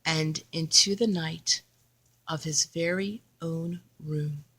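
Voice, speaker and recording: A woman's voice: sits low, fairly even intonation, takes it slowly, sharp tone, rough recording. A woman reading a children's picture book aloud, expressively and in simple phrases aimed at young listeners. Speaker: elementary school teacher reading aloud.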